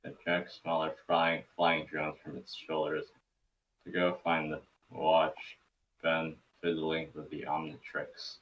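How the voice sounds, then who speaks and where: Low-voiced man narrating, roomy and distant. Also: completely flat delivery, slow, fairly bright tone. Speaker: narrator of a fan table read. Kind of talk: amateur script narration. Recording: roomy, distant mic with faint noise.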